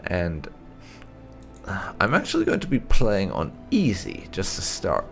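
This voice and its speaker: Low-pitched, very animated man. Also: measured pace, gravelly, faint music behind. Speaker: gaming video host. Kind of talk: casual game playthrough commentary.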